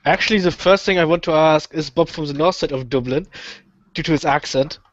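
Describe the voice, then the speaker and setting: A man's voice: on the high side, brisk, a little hiss or hum. A man new to a group stream call, asking curious questions with some hesitation. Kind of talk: casual group chat. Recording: dull dry lo-fi call audio.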